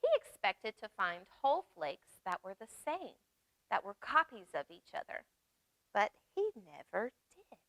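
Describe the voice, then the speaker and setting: A woman reading aloud at storytime for young children, expressive, pausing to explain and ask questions. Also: mid-range voice, no echo, low-quality recording. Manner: expressive, asks listeners questions and explains words. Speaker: children's library storytime reader.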